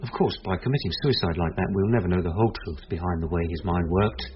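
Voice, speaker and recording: An actor playing a calm, reassuring police detective who explains things gently in a radio drama. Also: male voice, low voice, quick pace, close to an everyday voice. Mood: matter-of-fact. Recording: slightly dull radio recording.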